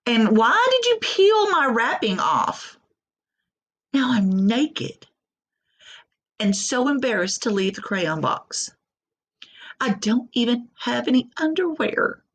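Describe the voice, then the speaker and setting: Lady doing a mortified storybook crayon, plaintive, raised pitch, drawn out. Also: very animated, slow, pauses often, heavily acted. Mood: embarrassed, pleading. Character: embarrassed, pleading peach crayon.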